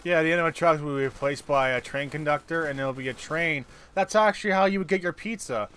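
A man chatting casually while playing a co-op game, halting and often trailing off mid-thought. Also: fairly high pitch, pushed and bright, smooth. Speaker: co-op gaming video player. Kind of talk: casual gaming banter.

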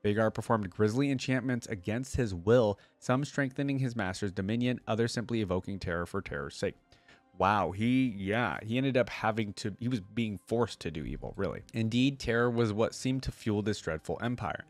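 A young man with a fairly low voice talks casually on a close, dry microphone. He hosts like a reaction streamer, moving between reading text aloud and thinking out loud in a conversational way. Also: normal speed, no echo, faint music behind. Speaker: reaction video host. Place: indoor gaming room. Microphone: close desk microphone.

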